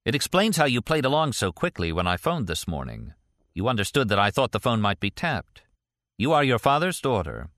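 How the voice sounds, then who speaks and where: A man's voice: mid-range voice, very animated, fairly quick, a bit bright, no room sound, voice pushed up. A man reading an audiobook plays a sceptical male ex-CIA detective with a dry, sardonic, reasoning manner. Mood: wry.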